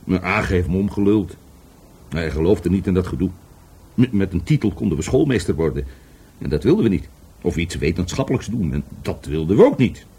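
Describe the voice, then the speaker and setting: An actor playing an evasive, volatile middle-aged businessman questioned by police in a radio play, husky, stammering and repeating his words. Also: sits low, lively intonation, fairly quick, warm tone, full character voice. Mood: reminiscing. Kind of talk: Dutch radio play acting.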